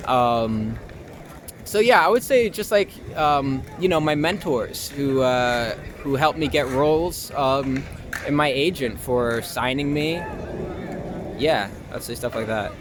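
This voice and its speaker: A man's voice: medium pitch, lively intonation, slow, noisy background. A young American man giving casual, somewhat hesitant interview answers in informal language. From Long Island, United States. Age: young adult. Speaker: actor being interviewed.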